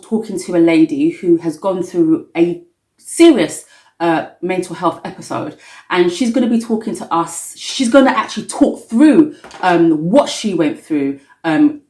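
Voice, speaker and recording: Woman host, measured intro, lower voice, roomy echo. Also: expressive, a little mellow. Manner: measured, with fillers. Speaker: magazine editor and host. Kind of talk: spoken introduction. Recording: echoey room.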